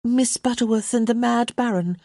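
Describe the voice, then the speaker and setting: A woman's voice: fairly high pitch, dark tone, no room sound, clean studio sound, pitched above the performer's own voice. A woman voicing a witty, cheeky young lady who answers back politely in an audiobook story. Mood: pert, unrepentant. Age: young adult. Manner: pert, quick to answer back.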